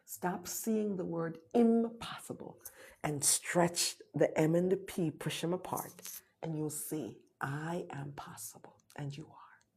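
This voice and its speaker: A woman's voice: sits low, a little mellow, breathy edge. A middle-aged woman with a Jamaican accent hosting a motivational live talk, urgent and repetitive, dropping to whispers for emphasis.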